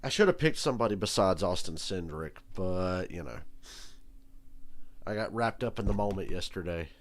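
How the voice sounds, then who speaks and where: A young man with a hoarse, nasal voice, chatting casually and swearing freely while he reacts to a race live. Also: lively intonation, moderate pace. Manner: rambling, swears freely, talks in a low register. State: hoarse, losing his voice. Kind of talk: casual profane chat over a broadcast.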